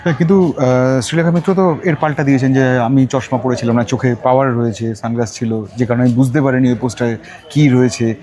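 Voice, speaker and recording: A man with a Bengali accent working as an interviewer, putting his questions in a halting way with pauses. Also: mid-range voice, fairly even intonation, darkish tone.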